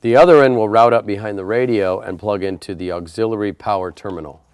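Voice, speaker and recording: A man's voice: fairly low pitch, fast, a little mellow, smooth, no echo. A man presenting a how-to installation tutorial, explaining each step in a plain, methodical way. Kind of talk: step-by-step instructional narration.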